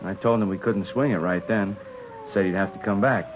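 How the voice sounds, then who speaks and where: A man's voice: sits low, a little fast, natural voice. An American man acting a candid, unruffled policeman in a 1950s radio drama, talking in a matter-of-fact, conversational way. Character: plain-spoken male desk policeman, unruffled and candid. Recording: dull, hissy 1950s radio recording.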